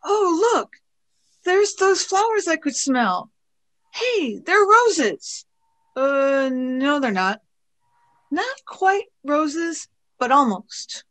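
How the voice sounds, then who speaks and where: A woman playing a girlish, excitable, inquisitive young space traveller in a radio play. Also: high-pitched, very slow, full character voice. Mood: delighted.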